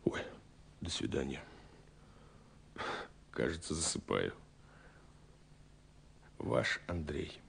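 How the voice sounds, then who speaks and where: A man's voice: extremely low pitch, expressive, slow pace, long pauses, heavily acted. An actor playing a romantic, dreamy young man who speaks his love letters in a lyrical, intimate radio play monologue. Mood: dreamy, drowsy. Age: young adult. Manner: lyrical, intimate, swinging between hushed and exclaiming. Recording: slightly husky-sounding 1979 radio recording. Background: soft music.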